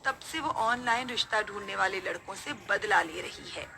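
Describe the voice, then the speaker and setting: A woman's voice: mid-range voice, normal speed, creaky, pushed and bright. A woman narrating a scripted horror story.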